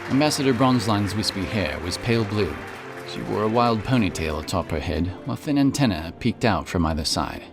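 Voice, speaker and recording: A man's voice: lowish voice, animated, a little fast, few pauses. A man reading scripted fantasy audiobook narration, with music playing behind him.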